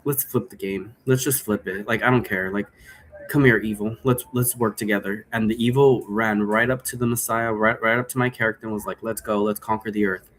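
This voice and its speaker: Casting: male, lowish voice, informal and digressive explainer thinking aloud, with some background noise. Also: fairly even intonation, fairly quick, a bit bright. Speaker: game creator explaining role-play rules. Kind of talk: rambling casual explanation.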